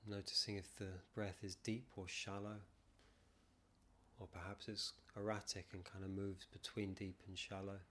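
Male meditation guide, lowish voice, flat even tone, calm, measured, long pauses. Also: slight background noise. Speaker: meditation teacher, podcast guest. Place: non-soundproofed room.

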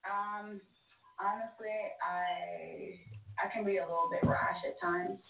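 A woman's voice: medium pitch, big pitch swings, slow pace, vocal fry, soft background music. A woman, born in North Sudan, calling a talk show and speaking casually, thoughtfully and hesitantly. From born in North Sudan, moved to the States at six. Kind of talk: casual, reflective conversation. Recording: tinny, roomy phone line, distant.

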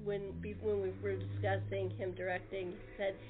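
A woman's voice: medium pitch, little pitch movement, normal speed. A woman hosting a casual interview, speaking in an encouraging, agreeable way. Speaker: host and producer. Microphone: telephone or internet call. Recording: thin phone-line sound.

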